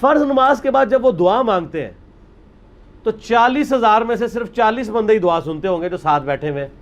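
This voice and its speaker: A man's voice: sits high, lively intonation, fairly quick, highs cut off. A man giving a religious talk, arguing his point with rhetorical questions and emphasis. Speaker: religious speaker. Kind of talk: lecture on religious practice.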